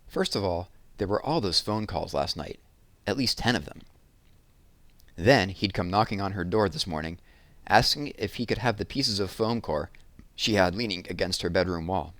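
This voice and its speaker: A man's voice: low voice, expressive, moderate pace, a bit bright, no echo. A man reading a children's novel aloud as a storytelling narrator.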